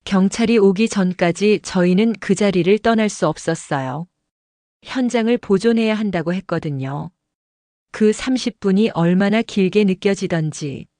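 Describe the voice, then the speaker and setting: A woman narrates an audio drama in the first person with a calm storytelling delivery. Her pitch sits in the middle range. The studio recording is close and entirely dry. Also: moderate pace, no room sound.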